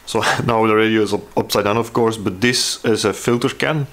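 A man's voice: lowish voice, fairly even intonation, moderate pace, fairly bright tone. A man presenting a hands-on restoration casually, hesitant and thinking aloud as he explains each step. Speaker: hobby radio restorer presenting a repair. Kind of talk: casual hands-on repair walkthrough.

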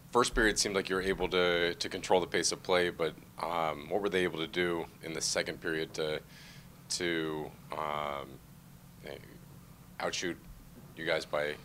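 Very deep-voiced hesitant reporter. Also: male voice, sharp tone, slight background noise. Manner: hesitant questions with fillers. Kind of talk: press conference questions.

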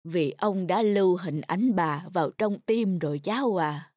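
A woman voicing a gentle, wistful old grandfather in a cartoon, telling things tenderly and wisely. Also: lowish voice, a little slow, dry recording, studio quality. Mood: gently advising. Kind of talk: cartoon dub.

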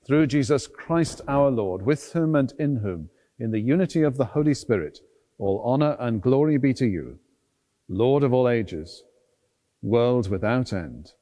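The long reverb of a big stone church surrounds a middle-aged man of medium pitch. He is a priest leading solemn liturgy, declaiming each short phrase with deliberate care. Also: animated, mellow. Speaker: priest, celebrant at a church service. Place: large stone cathedral, at the altar.

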